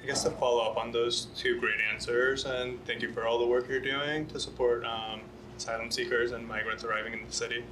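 A man's voice: medium pitch, level tone, fairly quick, background noise. A man moderating a panel, politely thanking the speakers and posing a prepared question.

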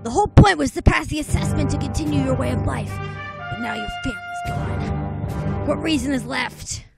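Earnest anime boy woman acting, high, animated, worried, under a loud dramatic score. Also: moderate pace, vocal fry, loud music behind the voice. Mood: grave, shocked. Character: gentle young boy in armour, earnest and worried. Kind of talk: anime fan dub acting.

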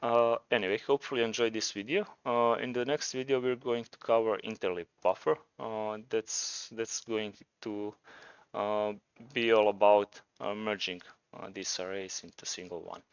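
A man's voice: on the low side, steady tone, normal speed, clean tone, no echo, lo-fi sound. A man with a Croatian accent presenting a programming tutorial, talking informally and thinking aloud as he demonstrates. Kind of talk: casual screencast tutorial narration.

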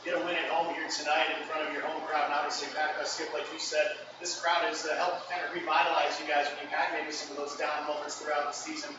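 A man hosting a post-game press conference, asking questions in a smooth announcer delivery. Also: a little high, monotone, fast talker, hardly any pauses, muffled recording. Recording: echoey room, far off-mic, noisy.

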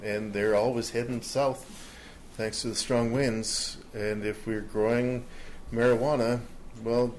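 A man around fifty, speaking slowly and folksily as a resident at a council public hearing, standing at a podium in a council chamber. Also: medium pitch, flat delivery, a little mellow, a little hiss or hum, low-quality recording. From Canada. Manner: slow, folksy storytelling.

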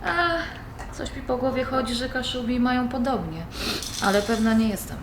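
A woman's voice: fairly high pitch, normal speed, lightly acted. Woman acting dry granddaughter in echoey room. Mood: casual. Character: Agnieszka, adult granddaughter, dry, easily irritated. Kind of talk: natural audio drama dialogue. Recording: very roomy, faint background noise.

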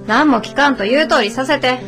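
An actress playing a stubborn, resolute young adult daughter in a radio drama, blunt and matter-of-fact, with a Kansai accent. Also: female voice, high voice, fairly quick, music in the background, natural voice. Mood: insistent.

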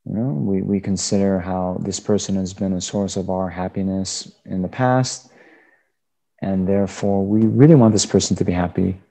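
A man leading a guided meditation as a Buddhist teacher, giving unscripted instructions in halting phrases. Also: low voice, fairly even intonation, gravelly, mellow.

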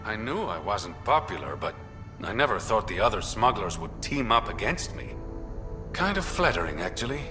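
A roguish space smuggler for a video game. He is suave and teasing, pitched on the low side with a lively, swinging melody, and music plays clearly behind him. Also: moderate pace. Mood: wry, suave. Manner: suave, teasing, self-mocking. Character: smooth, wry, roguish male smuggler in space. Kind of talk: video game dialogue acting.

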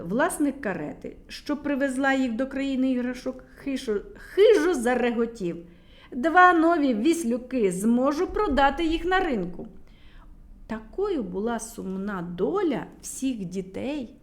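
A woman with a Ukrainian accent narrating a children's fairy tale in an expressive, storytelling manner. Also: sits high.

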